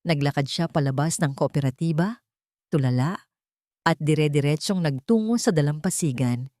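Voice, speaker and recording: A woman narrates a romantic drama in a low voice. The delivery is scripted storytelling with a dramatic bent. The recording is dry, with very little room sound. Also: moderate pace, dark tone, no room sound. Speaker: audiobook-style story narrator.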